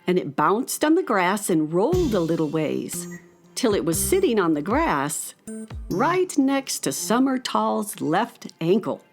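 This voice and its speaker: A woman's voice: medium pitch, very animated, unhurried, over music. A woman narrator reading scripted storytelling narration for a children's radio play.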